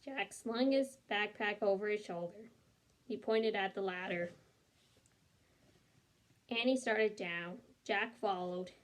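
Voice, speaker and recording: Female storyteller, medium pitch, expressive read-aloud for kids, acting out the lines. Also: slow pace, long pauses. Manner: animated, acts out the dialogue.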